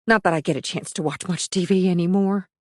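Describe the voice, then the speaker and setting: A man's voice: sits high, steady tone, dry recording, voice pushed up, close to an everyday voice. A male narrator voicing a weary young mother of about thirty with a dry, frazzled way of talking. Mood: wry, weary. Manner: dry, frazzled, quick to chatter.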